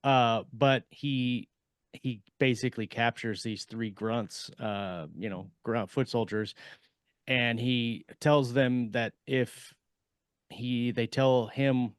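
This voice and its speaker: A man co-hosting a podcast in casual chat, working through his points haltingly and restating them. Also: medium pitch, steady tone, clear, resonant tone, no echo, studio quality. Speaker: podcast co-host.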